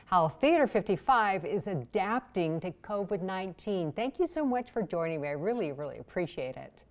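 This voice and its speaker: A woman's voice: lowish voice, expressive, normal speed. A woman hosting an interview in a conversational broadcast style, introducing and questioning her guest. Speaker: interview host.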